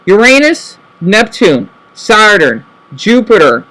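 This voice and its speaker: High-voiced man rambling to camera. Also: very animated, sharp tone, rough recording. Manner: rambling, self-correcting, frequent "right?" tag questions; spells words out slowly. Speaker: vlogger. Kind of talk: rambling casual monologue.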